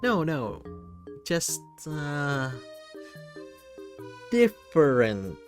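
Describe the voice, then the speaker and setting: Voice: mid-range voice, very animated, drawn-out words, soft background music, pitched above the performer's own voice. A performer voicing a weary, wary but polite human visitor in a visual novel, flustered and stammering. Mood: awkward. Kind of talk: visual novel character reading.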